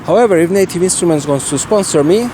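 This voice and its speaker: A middle-aged man giving a casual, tongue-in-cheek vlog explanation to camera, speaking informally and deliberately. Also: high-pitched, animated. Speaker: video host and music producer. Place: outdoors, park woodland and street. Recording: outdoor ambient noise.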